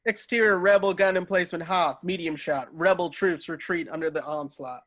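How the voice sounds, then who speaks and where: A man with a slightly high voice narrates a screenplay. He reads scene headings and action lines in a scripted table-read style. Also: normal speed, fairly bright tone. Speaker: narrator reading stage directions.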